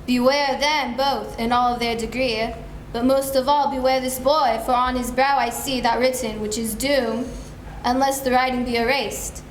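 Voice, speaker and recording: A woman's voice: a little high, fairly even intonation, normal speed, lightly acted. A young woman playing a solemn, stern ghostly spirit, grave and declamatory, in a dramatic stage reading. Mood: grave warning. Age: young adult. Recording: echoey room, faint noise.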